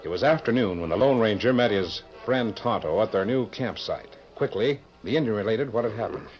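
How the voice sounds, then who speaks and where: A man's voice: on the low side, lively intonation, brisk, natural voice. A man performing as the formal storyteller of an old-time radio western, in scripted dramatic narration. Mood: dramatic, measured. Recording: muffled vintage radio recording, music behind.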